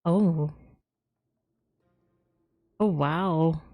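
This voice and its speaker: A woman's voice: sits low, drawn-out words, warm tone. A woman streaming a game, reacting casually with giggles and gasps and reading text aloud. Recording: game music behind.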